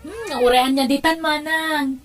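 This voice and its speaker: A young woman doctor in an audio drama, a devoted little sister. Her voice is high, and she speaks in a polite, earnest way. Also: unhurried. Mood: affectionate. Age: young adult. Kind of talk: Ilocano audio drama acting.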